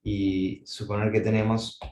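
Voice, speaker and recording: A man gives a university-style lecture, working through ideas aloud and pausing on fillers as he goes. His voice sits a little low. The recording carries a noticeable room echo. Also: little pitch movement, darkish tone. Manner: thinking aloud, frequent "eh" fillers and restarts. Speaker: mathematics lecturer. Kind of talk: academic lecture at a whiteboard.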